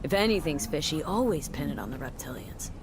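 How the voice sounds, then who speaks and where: A young streetwise mercenary in a video game. Her voice is slightly low and dryly skeptical, with a playful edge. There is clear noise behind her. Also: animated, brisk. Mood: wry joking.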